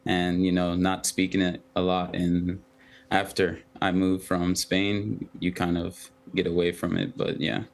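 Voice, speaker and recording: A young man, a professional footballer and a Twi speaker, giving halting, soft-spoken interview answers full of ums. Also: low voice, completely flat delivery. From United States.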